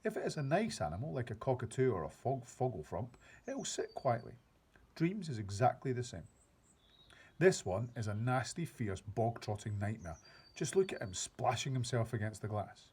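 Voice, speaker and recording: A man reading aloud, voicing an excitable big friendly old male giant who mangles his grammar and invents words. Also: mid-range voice, moderate pace, husky. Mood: grumbling. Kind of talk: reading a children's book aloud.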